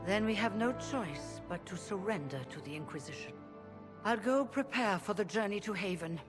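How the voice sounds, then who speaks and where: An actress playing an anxious, dignified leader of mages in a video game, speaking formally and pleadingly. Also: female voice, medium pitch, lively intonation, moderate pace, long reverb tail, over music, natural voice. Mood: resigned. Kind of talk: video game cutscene acting.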